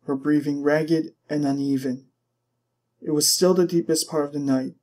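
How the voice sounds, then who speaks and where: A man reading fiction aloud as a narrator, in an expressive, dramatic storytelling style. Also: medium pitch. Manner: expressive, literary storytelling delivery.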